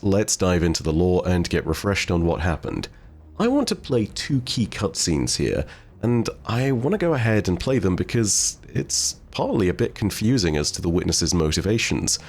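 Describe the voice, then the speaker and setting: A man presents game lore in a casual, explanatory way. His voice sits very low with some fry, and his intonation moves around a good deal. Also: a little mellow. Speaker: host of a game lore channel.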